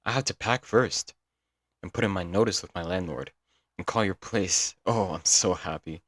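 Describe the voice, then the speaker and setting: Young male yandere stalker, played by a man: very low, dry-recorded, doting, earnest, clingy, for intimate audio roleplay. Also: normal speed, a bit bright, no echo. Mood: giddy, excited. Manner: doting, earnest, dramatic with sighs and chuckles. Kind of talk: intimate ASMR audio roleplay, scripted monologue.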